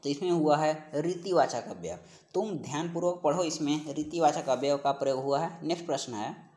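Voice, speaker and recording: Higher-pitched male teacher. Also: normal speed, a little mellow. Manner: explanatory, repeats key phrases and answers. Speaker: teacher of exam-prep grammar class. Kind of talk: instructional lecture, working through practice questions.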